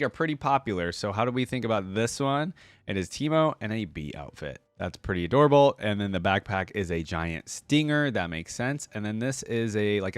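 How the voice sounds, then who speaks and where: A man's voice: on the low side, dry recording. A man hosting a casual reaction video, talking informally and thinking aloud as he comments. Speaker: video creator and host.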